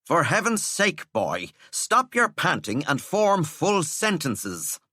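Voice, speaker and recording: A man playing a stern middle-aged schoolmaster with a clipped, sardonic delivery, slow and deliberate. The voice is bright and lively, recorded close and dry. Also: on the high side, no echo, voice pushed up, full character voice.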